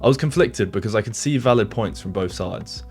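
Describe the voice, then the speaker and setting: A man's voice: fairly low pitch, lively intonation, a little fast, darkish tone, soft background music. A man reading scripted, reflective documentary narration.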